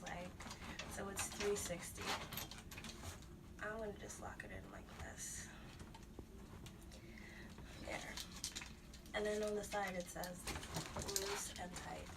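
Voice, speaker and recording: Soft-voiced woman, slow and whispery. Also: medium pitch, distant mic, very noisy. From United States. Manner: slow, breathy, drifts into whispers. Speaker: vlogger. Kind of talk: unboxing vlog.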